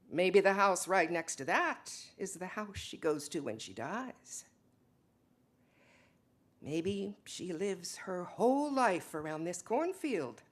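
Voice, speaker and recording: A woman performing a solemn Mennonite ancestor in a slow, wistful dramatic stage monologue. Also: fairly low pitch, heavily acted. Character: Mennonite ancestor grandmother, solemn emigrant recalling a life.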